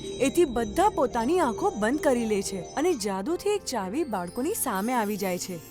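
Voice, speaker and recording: Lady narrating a kids story, high voice, really animated, faint music behind. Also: normal speed. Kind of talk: scripted children's story narration.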